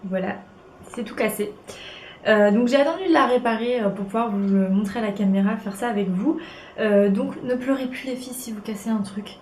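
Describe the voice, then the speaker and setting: A woman's voice: on the high side, fairly quick, darkish tone. A young woman with a cold, sniffling as she chats bubbly and casually with many fillers through a beauty tutorial at home. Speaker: beauty vlogger. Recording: echoey room with faint noise.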